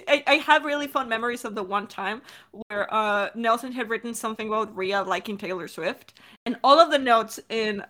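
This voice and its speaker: A woman's voice: a little high, sharp tone. A woman co-hosting a podcast, chatting casually in a giggly, playful way. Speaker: podcast co-host and writer. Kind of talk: casual Q&A chat.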